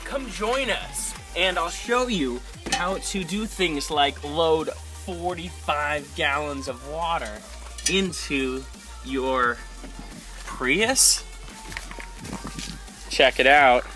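A man's voice: a little high, drawn-out words. A young man cheerfully promoting his meetup group in a casual vlog, filmed outdoors by a spring on a phone held at arm's length. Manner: upbeat and enthusiastic. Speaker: meetup organizer. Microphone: phone camera at arm's length. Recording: background music under voice.

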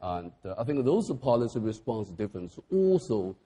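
A man's voice: lowish voice, very animated, normal speed, dark tone, breathy edge. A man on a panel discussion explaining economic policy point by point, with non-standard English grammar.

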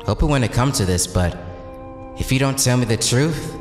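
A man's voice: medium pitch, expressive, normal speed, reverberant, in a large hall, over music, natural voice. A man playing a cocky, streetwise young vigilante in an audio drama, talking in a casual, teasing way. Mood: taunting.

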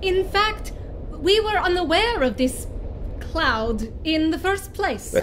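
An actress playing a composed, precise professor in a futuristic audio drama, formal and matter-of-fact. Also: female voice, very high-pitched, very animated, slow, a bit bright, a little hiss or hum, heavily acted. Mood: puzzled, precise.

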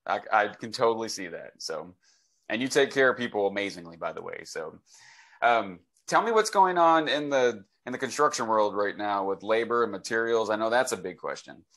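A man's voice: lowish voice, animated, moderate pace, fairly bright tone. A man in his forties hosting a casual interview over a video call, talking in an enthusiastic, conversational way. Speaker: host, real estate agent. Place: home office, video call. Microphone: computer mic on a video call.

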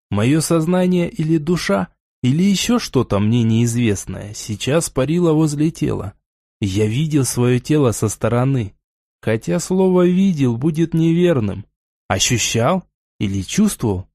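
Dark-toned male audiobook narrator. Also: mid-range voice, dry recording, studio quality. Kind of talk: scripted first-person fantasy novel narration.

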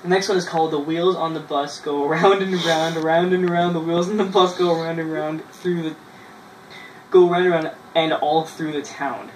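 A man, casually reading his old writing aloud in a halting way, with self-mocking asides, in a small bedroom. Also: on the high side, fairly even intonation. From Canada. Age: 20s. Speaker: vlogger. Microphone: camera a few feet away. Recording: roomy, distant mic with noise.

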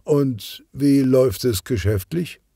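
A man's voice: mid-range voice, slow, gravelly, warm tone, dry recording, studio quality, pitched above the performer's own voice. A man voicing a smug, travel-weary gangster boss talking business in an audiobook crime story. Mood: self-satisfied, tired. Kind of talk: audiobook character dialogue.

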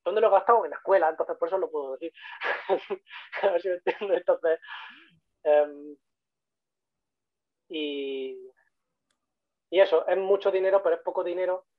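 A man's voice: sits high, moderate pace, long pauses, no room sound. A man from Spain with a Spanish accent, giving a casual online talk as a 3D modeler on a video call, chatty and repeating points for emphasis. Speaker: guest speaker, 3D modeler. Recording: tinny phone-like call audio.

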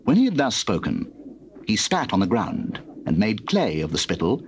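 A man's voice: on the low side, huge pitch swings, moderate pace, slight background noise. A man giving scripted scripture narration on an old cassette recording.

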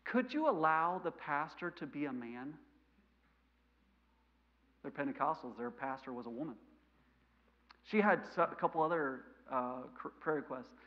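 A man in his thirties with a mild stutter, preaching a sermon in a church sanctuary, animated and gesturing, swinging between loud emphasis and quiet asides. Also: on the high side, moderate pace, pauses often. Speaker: missionary preacher. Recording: lo-fi church recording.

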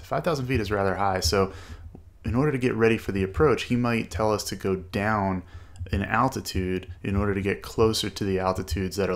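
A man's voice: on the low side, vocal fry. A man narrating an explanatory flight tutorial as an instructor, walking through each step. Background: small-plane cockpit drone from a flight simulator.

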